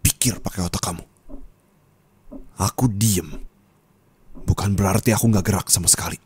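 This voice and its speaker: A young man voicing an angry, heartbroken young man who scolds his partner, swinging from shouting to low bitter muttering, in an ASMR roleplay. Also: moderate pace, a little hiss or hum, lightly acted. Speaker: roleplay voice actor.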